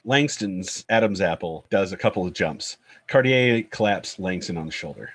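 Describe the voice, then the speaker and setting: A man's voice: deep voice, lively intonation, moderate pace, fairly bright tone. A man reading stage directions as the narrator of a screenplay table read.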